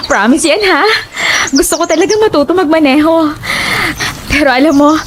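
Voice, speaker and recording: A woman's voice: high-pitched, normal speed, slight background noise, close to an everyday voice. A woman playing a young, fretful and inquisitive travelling companion in conversational audio horror drama dialogue. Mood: eager, chatty. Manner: inquisitive, everyday conversational delivery.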